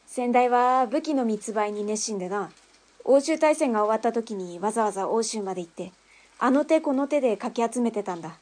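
An actress playing a tough, brusque female underworld boss and mother in a radio drama, speaking in a rough, mannish, commanding way. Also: on the high side, lively intonation, a little fast, a little mellow. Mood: proud. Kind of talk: Japanese radio drama acting.

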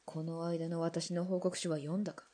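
A defiant, cool-headed female military engineer played by a woman for a radio drama. Her voice is low and very mellow, and her phrasing is blunt, clipped and mannish. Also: level tone, moderate pace, lightly acted. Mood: cool, challenging.

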